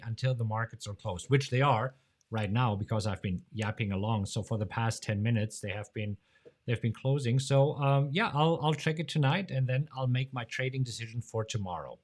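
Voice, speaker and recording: A German-accented man hosts a relaxed live-stream question-and-answer session. His voice sits at an ordinary middle pitch, and he talks in a chatty, joking way. He laughs often and leaps sharply upward in pitch. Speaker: host of a live trading show.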